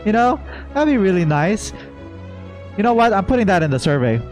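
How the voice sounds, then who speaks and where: A man's voice: high-pitched, sing-song, fairly quick. A man doing casual live gameplay reaction commentary as a streamer, playful and joking. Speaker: game streamer. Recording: faint game music behind.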